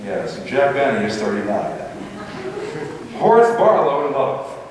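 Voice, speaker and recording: A man playing a pompous, irritable, sarcastic high school principal in an old-time radio comedy. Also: big pitch swings, unhurried, crowd noise. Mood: grumbling. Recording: echoey hall from far off, faint hiss.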